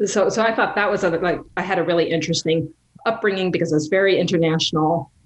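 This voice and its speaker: A woman's voice: fairly low pitch, low-quality recording. A woman guest in a casual interview, speaking thoughtfully with frequent fillers.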